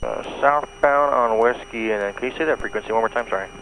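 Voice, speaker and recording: Male airline pilot, mid-range voice, hurried procedural phrasing over a noisy aviation radio. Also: medium pitch, a bit bright. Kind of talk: quick radio readback. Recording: static-laden noisy cockpit radio.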